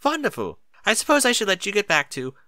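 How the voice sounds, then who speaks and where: A man's voice: sits high, huge pitch swings, fast, sharp tone, no room sound, studio quality, pitched above the performer's own voice, heavily acted. A man voicing a refined, dramatic lady unicorn pony with genteel, flowery phrasing in a storybook reading. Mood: gracious.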